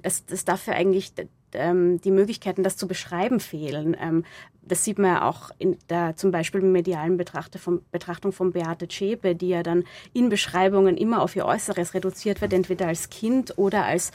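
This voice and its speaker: A woman answering interview questions reflectively, haltingly searching for words and correcting herself as she goes. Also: lowish voice, fairly even intonation, darkish tone, no room sound. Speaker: interviewed playwright discussing own audio drama.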